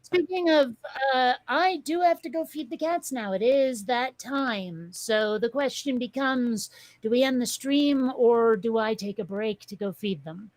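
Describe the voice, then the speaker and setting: A woman's voice: on the high side, unhurried, darkish tone, dry recording, rough recording. A woman co-hosting a casual livestream chat, blunt and matter-of-fact. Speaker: co-host.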